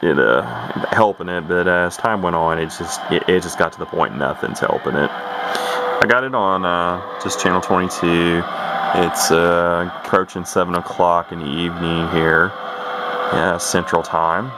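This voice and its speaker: A man giving a casual, unscripted equipment walkthrough in a halting, explanatory way. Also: low-pitched. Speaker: radio hobbyist demonstrating equipment. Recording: heavy radio static and background noise.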